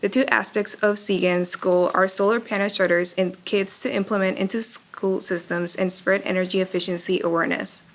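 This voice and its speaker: A young woman from Texas reads out her part of a group pitch. Her medium-pitched voice stays steady and earnest throughout. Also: moderate pace, few pauses. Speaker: student presenter. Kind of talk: reading a prepared presentation.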